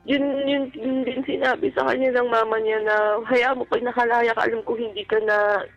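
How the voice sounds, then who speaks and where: A woman's voice: a little high, flat delivery, moderate pace, dry recording. A woman with a Filipino accent calling a radio show, politely sharing a personal account in a conversational way. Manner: polite, deferential. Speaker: caller seeking advice on a radio show. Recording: dull lo-fi call audio with music. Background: music.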